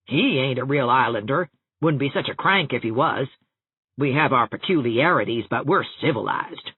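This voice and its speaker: A woman's voice: very deep voice, lively intonation, normal speed, slightly rough, no echo, narrow, tinny audio, exaggerated voice. A woman voicing a blunt, folksy, scolding older countrywoman who is easily affronted, in a storybook reading. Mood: opinionated.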